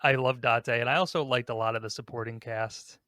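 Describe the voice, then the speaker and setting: A man's voice: mid-range voice, steady tone, brisk, pushed and bright, no room sound, clean studio sound. A man hosting a podcast, talking casually as he runs through a ranking discussion. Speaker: podcast host.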